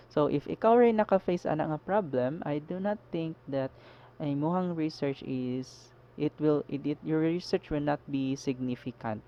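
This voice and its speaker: A Filipino man with a Cebuano accent, giving a recorded slide lesson as a teacher and switching between English and Cebuano, often checking in with a quick "no?". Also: a little high, muffled recording. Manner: mixes English with Cebuano, explanatory with frequent "no?" checks.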